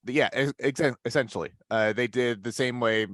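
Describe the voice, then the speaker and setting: A man's voice: mid-range voice, normal speed, a bit bright, no echo, studio quality. A man on a podcast panel, chatting casually in an explanatory way with precise wording. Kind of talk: casual chat.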